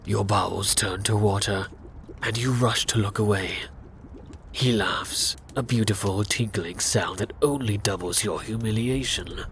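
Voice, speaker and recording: A man narrating a scripted second-person fantasy story for ASMR in a hushed, intimate, dramatic way. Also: on the low side, unhurried, slight background noise.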